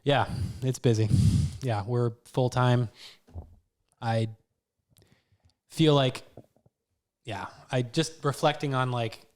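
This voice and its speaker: A young man with a slightly nasal voice from a cold chats on a podcast. He rambles and restarts his sentences often, thinking out loud in an easy, casual tone. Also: mid-range voice, steady tone, takes it slowly, long pauses. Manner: rambling, hesitant, many restarts. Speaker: podcast guest, former host. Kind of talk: casual chat.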